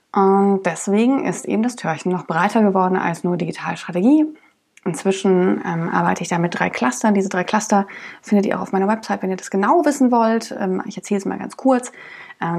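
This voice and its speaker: A woman's voice: fairly low pitch, creaky, darkish tone, husky. A woman hosting her own podcast, talking alone in a chatty way with lots of ums, her nose stuffed up from a cold. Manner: chatty, many ums and restarts. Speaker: podcast host. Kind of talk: solo podcast monologue.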